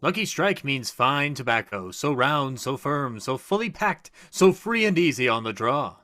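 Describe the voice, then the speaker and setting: An actor playing an emphatic pitchman chanting slogans in a 1940s radio commercial. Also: very animated, a little slow, bright, forceful tone, no echo, clean studio sound. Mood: emphatic selling. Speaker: commercial announcer.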